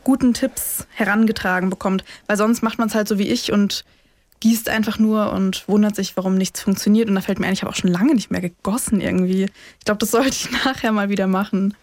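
A woman chatting casually as a podcast co-host, informal and quick to laugh. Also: mid-range voice, fairly even intonation, a little mellow, no echo. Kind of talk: casual chat between co-hosts.

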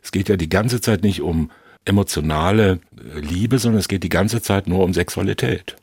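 A man's voice: deep voice, moderate pace, a little mellow, slightly rough, dry recording, clean studio sound. A man in a conversational film debate, insistently arguing his reading and circling back to repeat key phrases.

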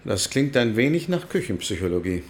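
An actor playing a courteous defence lawyer of about sixty in a radio drama, formal and matter-of-fact. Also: male voice, medium pitch, big pitch swings, a little fast. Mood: firm. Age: 60s. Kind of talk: German radio drama acting.

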